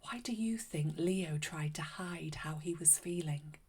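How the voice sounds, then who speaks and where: A woman narrating a read-aloud story for children in a gentle, unhurried, expressive way. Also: sits low, breathy edge. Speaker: children's story reader and host.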